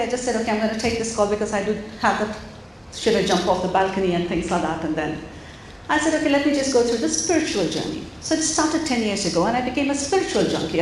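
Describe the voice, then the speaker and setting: A woman's voice: fairly high pitch, a little hiss or hum. A woman from India giving an interactive mindfulness talk to parents in a school auditorium, encouraging and asking the audience questions. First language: an Indian language. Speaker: mindfulness coach. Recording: echoey hall, faint room ambience.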